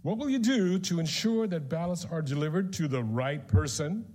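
A man's voice: a little high, expressive, moderate pace, a little mellow. A man moderating a debate, asking questions briefly and formally.

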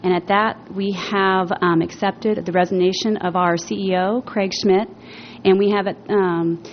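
A woman's voice: medium pitch, steady tone, a little slow. A woman speaking as a chamber of commerce president during public comment at a meeting, earnest, with frequent ums. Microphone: podium microphone. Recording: muffled meeting audio with faint noise.

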